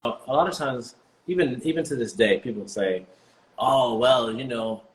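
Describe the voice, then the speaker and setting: A man in his forties, born in the US and partly raised in Jamaica, answering interview questions as a guest in a relaxed, reflective way with many fillers. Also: mid-range voice, moderate pace, low-quality recording. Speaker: guest, vegan bodybuilder.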